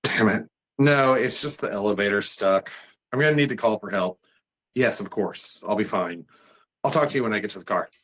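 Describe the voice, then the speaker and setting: A man's voice: lowish voice, normal speed, a bit bright. Man acting as an everyday, slightly irritable male doctor. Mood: annoyed. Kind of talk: amateur screenplay table read acting.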